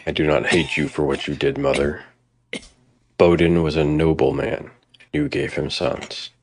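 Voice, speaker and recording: Man acting very deep, grave Norse warrior. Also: steady tone, creaky, no room sound, heavily acted. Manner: solemn, measured, firm. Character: grave, steadfast Norse warrior chieftain Sigurd. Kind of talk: radio drama acting.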